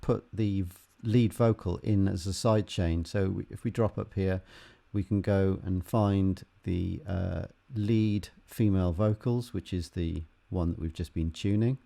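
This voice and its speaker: A man teaching music production in an unscripted tutorial, haltingly thinking aloud as he works through each step. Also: low voice, fairly even intonation, creaky, warm tone. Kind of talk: unscripted software tutorial, explaining while demonstrating.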